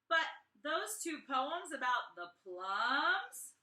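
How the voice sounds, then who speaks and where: Woman reading as an earnest schoolboy, bright, echoey. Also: sits high, sing-song, very slow, pitched above the performer's own voice, full character voice. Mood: puzzled, earnest. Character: earnest young schoolboy writing journal poems, defensive, doubtful. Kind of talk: expressive children's novel read-aloud. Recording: echoey room, distant mic.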